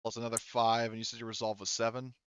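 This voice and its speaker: A man with a clear, smooth voice runs a tabletop roleplaying session as game master. The sound is dry and close, and his delivery is casual and conversational. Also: mid-range voice, little pitch movement, quick pace, fairly bright tone, no room sound, dull audio.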